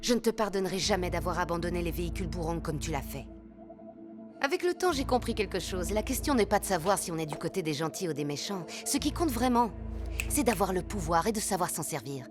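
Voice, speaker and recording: A woman's voice: lowish voice, animated, fast, over music, close to an everyday voice. A woman voicing a smug, condescending female cartoon villain who taunts in a theatrical way. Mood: taunting, grandiose. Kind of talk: animated series dub.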